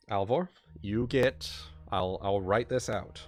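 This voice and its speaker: Slow, low-voiced man running a game. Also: dry recording. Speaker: game master. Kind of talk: narrating and explaining a tabletop game.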